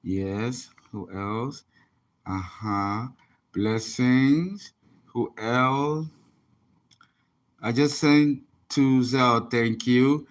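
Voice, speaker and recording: A man's voice: mid-range voice, very slow, long pauses. A man, an astrologer and tarot reader, chatting casually on a livestream and rambling as he reads viewer comments aloud.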